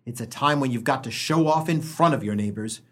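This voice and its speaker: An actor playing a sardonic, penny-pinching small-town husband in a comic radio play, blustering with a slightly husky voice. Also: male voice, mid-range voice, brisk, close to an everyday voice. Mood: grumbling, ranting. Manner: blustering, sarcastic, declamatory.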